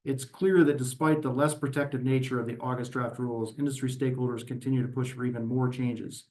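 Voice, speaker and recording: A man delivers formal, prepared testimony as an advocate at a hearing. His mid-pitched voice moves methodically from point to point in a measured, orderly manner. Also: level tone, darkish tone. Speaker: environmental advocacy representative testifying at a regulatory hearing. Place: virtual meeting.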